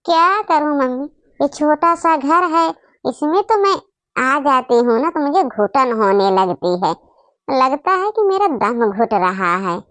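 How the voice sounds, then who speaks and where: A woman's voice: sits high, lively intonation, unhurried, fairly bright tone, no room sound. A woman voicing a boastful, snobbish young married daughter in a family comedy cartoon, bragging and complaining as she talks. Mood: boastful, disdainful.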